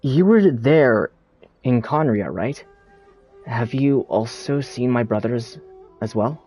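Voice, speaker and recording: Slow-spoken, gentle young traveler. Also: male voice, medium pitch, lively intonation, slightly rough, soft background music. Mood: earnest. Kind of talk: video game character acting.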